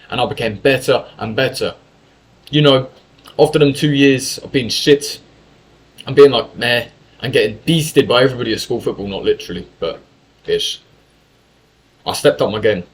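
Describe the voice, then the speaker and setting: A young man from England telling a story in a casual monologue, talkative and full of swearing and self-corrections. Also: medium pitch. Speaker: YouTuber.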